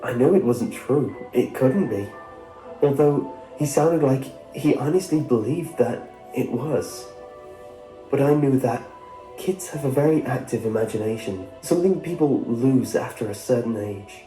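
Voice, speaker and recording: A man reading a scripted first-person horror story in a measured storytelling way. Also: mid-range voice, dark tone. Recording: roomy sound with music behind. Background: background music.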